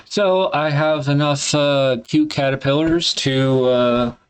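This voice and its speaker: A man in a candid solo vlog, thinking aloud in a halting, hesitant way and swearing casually. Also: fairly high pitch, steady tone, clean tone. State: congested. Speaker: vlogger.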